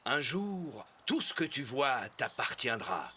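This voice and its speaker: A man voicing a proud, self-satisfied pharaoh in an animated musical film, with music behind him. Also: mid-range voice, takes it slowly, a little hiss or hum, exaggerated voice. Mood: proud, coaxing. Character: proud pharaoh, indulgent father promising his son power. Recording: loud musical score behind.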